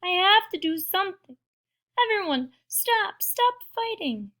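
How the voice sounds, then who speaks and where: A woman's voice: very high-pitched, sing-song, a little slow, sharp tone, voice pushed up, full character voice. A woman voicing an earnest, worried little prairie dog in a children's storybook, urgent and pleading. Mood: distressed. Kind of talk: children's storybook read-aloud.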